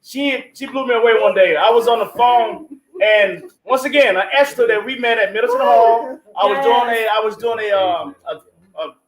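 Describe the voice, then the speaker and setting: A man chatting casually as a play producer, telling stories in an animated way and acting out bits of conversation. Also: extremely high pitch, moderate pace, crowd noise. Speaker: stage play producer and guest. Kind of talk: casual conversational storytelling at a group interview. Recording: roomy, echoey room sound.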